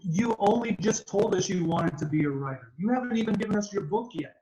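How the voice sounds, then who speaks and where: A man reading the part of a blunt, logical father at a screenplay table read, brisk and matter-of-fact. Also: high-pitched, expressive, mellow, low-quality recording.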